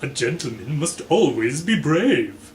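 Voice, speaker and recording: A man's voice: on the high side, sing-song, unhurried, voice pushed up. Man doing a pompous blustering colonel. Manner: pompous, emphatic. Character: blustering, self-important gentleman colonel. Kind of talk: storybook character reading. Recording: echoey room, faint background noise.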